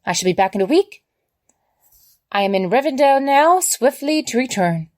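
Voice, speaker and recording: A woman's voice: high-pitched, animated, normal speed. A woman voicing a prim, indignant hobbit adventurer reading out her letter home with emphatic, dramatic storytelling. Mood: brisk, satisfied.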